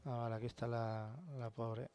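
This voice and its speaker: A man giving excited, slangy commentary while playing a game. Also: medium pitch, moderate pace, slight background noise. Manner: excited, swears casually. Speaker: let's play gamer. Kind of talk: live gameplay commentary.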